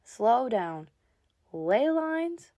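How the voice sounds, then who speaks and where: A woman's voice: fairly high pitch, sing-song, drawn-out words, mellow, heavily acted. A woman playing a young fox Pokemon in a comic dub, a sceptical, easily flustered trainee explorer with a dry, questioning manner. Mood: weary, unsure. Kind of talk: animated comic dub acting.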